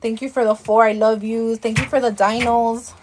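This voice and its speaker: A woman's voice: a little high, flat delivery, normal speed, background noise. A woman, chatting casually as a live-stream host, thanking viewers and slipping into Spanish, a bit tired and out of breath. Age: 30s.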